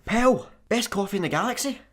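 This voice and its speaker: An actor playing an eager but unsure amateur guitarist on the run in a sci-fi comedy radio play. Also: male voice, high voice, lively intonation, brisk. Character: hesitant, eager, dim amateur guitarist space fugitive.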